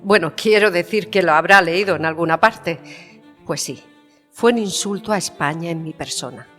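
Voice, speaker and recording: Audio-fiction queen, played by a woman: proud, bitter, emphatic, lower register, big reverb with strings behind. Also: normal speed, a bit bright, natural voice. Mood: indignant, haughty. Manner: emphatic, haughty, theatrical. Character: proud, bitter, passionate Spanish queen, defiant and indignant. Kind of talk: dramatised historical interview, audio fiction. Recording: long reverberant hall sound. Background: violin music.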